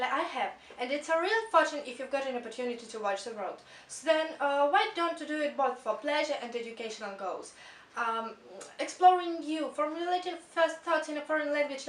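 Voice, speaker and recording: High-voiced teenage girl giving a speech. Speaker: student presenter. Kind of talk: recited speech presentation. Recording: roomy, from a distance.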